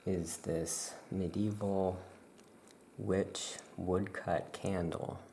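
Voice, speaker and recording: A soft-spoken ASMR host playing a calm witch shopkeeper, talking gently and without hurry while showing items. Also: low voice, gravelly, slight background noise. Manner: gentle, unhurried, hushed delivery. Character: calm Salem witch shopkeeper welcoming customers. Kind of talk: soft-spoken ASMR roleplay, product showcase.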